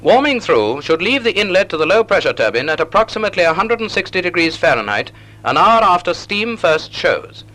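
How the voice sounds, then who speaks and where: Male, 1940s instructional film narrator. Slightly high, crisp-edged bright tone, measured didactic delivery, vintage soundtrack. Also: sing-song, low-quality recording.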